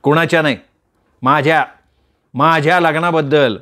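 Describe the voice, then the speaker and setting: A man voicing a shy, earnest young man in love, talking in a hesitant, low-key way in a read-aloud story. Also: fairly even intonation, moderate pace, a bit bright, close to an everyday voice. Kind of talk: storytelling with voiced characters.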